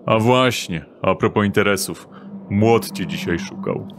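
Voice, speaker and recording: A man's voice: fairly low pitch, unhurried, noisy background, natural voice. A man playing a short, burly, sly doorman thug with a thick, unpleasant voice in an audio drama, talking in a mocking drawl.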